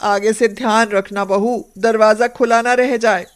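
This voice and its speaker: A woman's voice: fairly high pitch, fairly even intonation, unhurried, natural voice. A woman voicing a middle-aged, pious and suspicious mother-in-law with a commanding manner in a moral story. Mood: shocked, scolding. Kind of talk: acted moral story dialogue.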